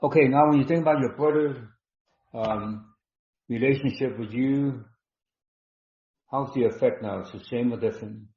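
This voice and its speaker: A man hosting a live online healing session, talking slowly and instructively, repeating words with many ums. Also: mid-range voice. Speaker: webinar host and healer. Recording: dull roomy online call audio.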